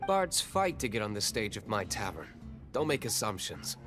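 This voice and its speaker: An aloof, wealthy gentleman from a video game, speaks in a fairly low voice. He is serious, dry and composed. Music plays clearly behind him. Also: sing-song, moderate pace. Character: stern, aloof wealthy gentleman tavern owner.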